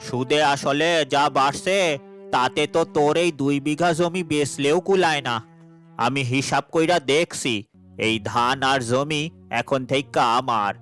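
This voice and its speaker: Slow, smug village moneylender played by a man. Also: on the high side, a bit bright, clean tone, soft background music. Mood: smug menace. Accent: rural Bangladeshi Bengali. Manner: slow, condescending, domineering. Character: greedy, scornful male village moneylender, bullying and smug. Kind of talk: cartoon voice acting.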